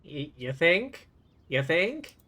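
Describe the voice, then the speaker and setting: A woman's voice: sits low, sing-song, slow. A woman giving casual, playful livestream commentary on a game, echoing lines as she goes. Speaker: game streamer. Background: game music.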